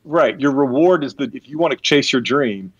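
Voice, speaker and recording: A man's voice: medium pitch, normal speed, breathy edge, no room sound, muffled recording. Male podcast host, close dry sound. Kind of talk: casual conversational interviewing.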